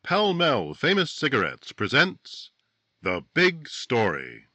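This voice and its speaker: An actor playing a grave, declamatory announcer in 1950s radio style. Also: male voice, medium pitch, wildly swooping pitch, takes it slowly. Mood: grand, solemn. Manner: declamatory, portentous. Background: loud dramatic music.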